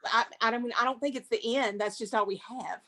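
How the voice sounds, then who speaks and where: An actress playing a museum curator who narrates screenplay stage directions aloud. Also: female voice, fairly high pitch, steady tone, fairly quick, pushed and bright. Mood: apologetic.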